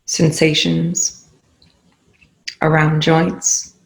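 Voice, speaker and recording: A meditation guide leading a session in a soothing, unhurried manner with long pauses between phrases. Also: mid-range voice, completely flat delivery, vocal fry, low-quality recording. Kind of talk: guided meditation, spoken slowly.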